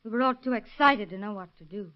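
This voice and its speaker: A woman's voice: medium pitch, lively intonation, quick pace, lightly acted. Actress as a guarded, tearless young mother, muffled recording. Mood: guarded, subdued. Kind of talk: 1930s radio acting. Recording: muffled vintage radio recording.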